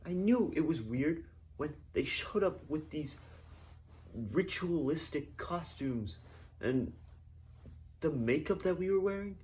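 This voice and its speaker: Man as female ballet dancer, raised pitch, distraught, indignant, halting, exasperated, mock newsreel interview, faint background noise. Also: animated, a little mellow. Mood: unsettled, exasperated.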